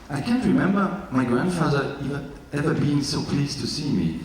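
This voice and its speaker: A man from East Germany with a German accent, reading from his memoir in careful, slightly halting English with jokey asides. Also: medium pitch, a little hiss or hum. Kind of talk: live literary reading. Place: large event hall. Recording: echoey hall from far off.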